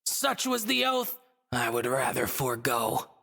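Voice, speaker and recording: A woman's voice: very deep voice, big pitch swings, unhurried, creaky, sharp tone, heavily acted. A woman playing a smug, theatrical stuffed-bunny god in a video game, speaking in mocking, riddling, ornate phrases. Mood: solemn, teasing.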